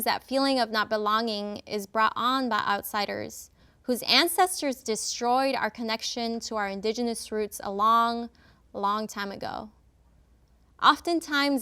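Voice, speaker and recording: A young adult woman from Nicaragua whose first language is Spanish, delivering an impassioned, deliberately phrased spoken-word talk. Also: a little high, bright, forceful tone, clear, resonant tone. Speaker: speaker.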